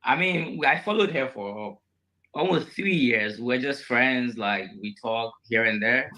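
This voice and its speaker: Halting man guest from Ghana. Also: mid-range voice, pushed and bright, poor audio. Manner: measured, a bit halting. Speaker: guest on a live show. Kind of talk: conversational interview answers.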